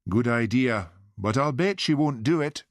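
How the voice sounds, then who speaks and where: A man's voice: mid-range voice, lively intonation, moderate pace, dry recording, pitched above the performer's own voice, natural voice. A man voicing a shrewd, skeptical policeman who asks dry, pointed questions. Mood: probing.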